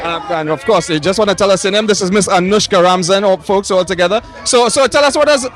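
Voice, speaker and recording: A man's voice: high-pitched, fast talker, a bit bright, some chatter behind. A man hosting chatty, joking interviews at an outdoor festival. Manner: chatty, jokey, many restarts. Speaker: roving festival host. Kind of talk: upbeat on-location interviewing.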